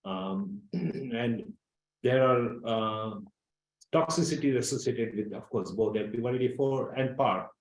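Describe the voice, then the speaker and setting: A man's voice: mid-range voice, unhurried, reverberant, low-quality recording. A man, a scientific executive, giving a careful technical explanation on an earnings call with uhs.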